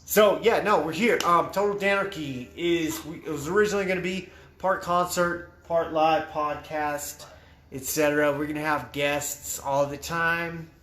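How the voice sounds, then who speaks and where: A man's voice: a little high, fairly even intonation, normal speed, fairly bright tone. A man hosting a casual livestream podcast, chatty and loose, swearing casually. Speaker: host. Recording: echoey room with faint noise.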